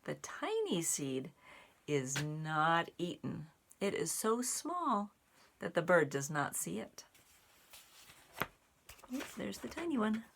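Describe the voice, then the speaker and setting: A woman reading a children's picture book aloud, phrasing it deliberately in short pieces with animated emphasis. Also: on the low side, creaky, a little hiss or hum. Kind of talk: expressive read-aloud for young children.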